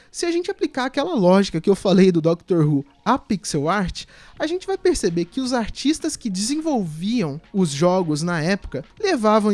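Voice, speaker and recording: A man's voice: sits high, darkish tone, dry recording. A Brazilian man giving casual video essay commentary in a conversational, explanatory way.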